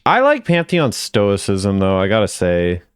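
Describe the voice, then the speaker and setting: A man's voice: fairly low pitch, huge pitch swings, unhurried, smooth, dry recording, studio quality. A man hosting a gaming video, giving casual commentary with informal, musing reactions.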